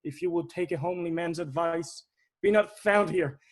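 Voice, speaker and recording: A man's voice: a little high, moderate pace, lightly acted. A man playing an earnest, humble messenger in a Shakespearean audio play, speaking formally. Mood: urgent. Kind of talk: stage-style Shakespearean acting. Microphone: video call recording.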